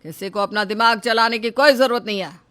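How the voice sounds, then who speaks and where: A performer voicing a tyrannical middle-aged father who is domineering, threatening and quick to shout in a melodramatic story. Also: medium pitch, close to an everyday voice. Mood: angry, domineering. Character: tyrannical, short-tempered middle-aged father and shop owner. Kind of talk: melodramatic audio story dialogue.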